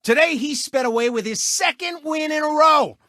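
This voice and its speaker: A man's voice: very high-pitched, moderate pace, pushed and bright, no room sound, studio quality. A man voicing a cocky, gloating cartoon race car who hosts a sports show in a video game, brash and theatrical. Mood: showy sportscasting. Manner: brash, smug, theatrical showman. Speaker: racer and TV racing commentator. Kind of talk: animated video game sports commentary and taunts.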